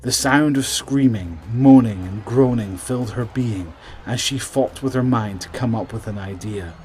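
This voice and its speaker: A man narrating a scripted horror story in a measured, suspenseful way, trailing off at the ends of phrases. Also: medium pitch, darkish tone, a little hiss or hum.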